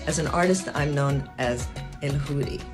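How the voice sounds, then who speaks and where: A woman from Ecuador giving an earnest, measured scripted narration as a singer and activist. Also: low voice. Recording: narration over clear music. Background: music.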